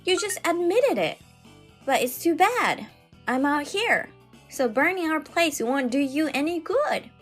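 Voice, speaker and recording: Woman voicing an earnest, high-voiced young bride-to-be. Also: sing-song, measured pace, soft background music. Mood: firm, accusing. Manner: firm, accusing, earnest. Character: earnest, wary young bride-to-be standing up to harassment. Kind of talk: dramatized manga story dub.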